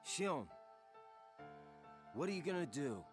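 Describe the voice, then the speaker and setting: A man's voice: a little high, big pitch swings, slow pace. A man voicing a sardonic, hot-tempered but loyal young man in a video game, with a wry, emphatic drawl. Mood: wry, uneasy. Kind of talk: dramatic video game voice acting. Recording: game audio over music.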